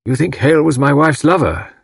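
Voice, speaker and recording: A man voicing a haggard, greying gentleman in an audiobook, stubbornly loyal and indignant, with a formal, pained, emphatic delivery. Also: fairly high pitch, expressive, fairly quick, vocal fry, breathy edge, no echo, studio quality, voice pushed up, exaggerated voice. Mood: distressed, indignant. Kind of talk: audiobook character acting.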